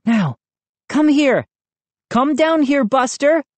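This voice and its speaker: A woman's voice: on the high side, unhurried, warm tone, no room sound, voice pushed up. A woman voicing an earnest, frightened child who pleads urgently in a children's story. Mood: urgent pleading.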